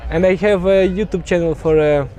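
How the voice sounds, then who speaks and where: A man's voice: high voice, fairly even intonation, brisk, mellow. A man hosting an on-site interview at an outdoor event, hesitant and full of fillers and restarts. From Ukraine. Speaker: YouTube host interviewing at an event.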